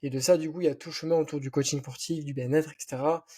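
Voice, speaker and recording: Young guy w/ southwest french accent, rambly and full of fillers, kinda high but mellow dark tone. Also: steady tone, rapid-fire, breathy edge. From southwest France. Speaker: podcast guest, founder. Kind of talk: casual podcast chat.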